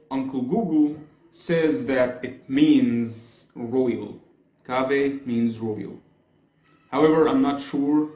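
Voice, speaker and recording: A man's voice: medium pitch, warm tone. A young man from Egypt with an Egyptian accent, chatting in a casual explainer vlog, hedging often and gesturing as he talks. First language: Arabic. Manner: chatty, hedging, gestures a lot with his hands. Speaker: YouTuber. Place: small room with blue walls, indoors. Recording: echoey small room.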